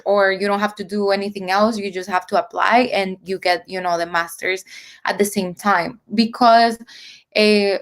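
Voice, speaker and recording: A woman in her twenties from El Salvador, a PhD student answering interview questions in a chatty way, laughing easily and using lots of fillers. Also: mid-range voice. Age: 20s.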